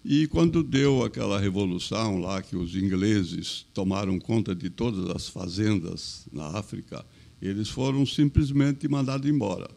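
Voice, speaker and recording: Older guy, low gravelly voice, halting, repeats himself. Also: animated, warm tone. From Brazil. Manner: halting, repeats phrases, plain-spoken. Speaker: podcast guest, business owner. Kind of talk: casual interview chat.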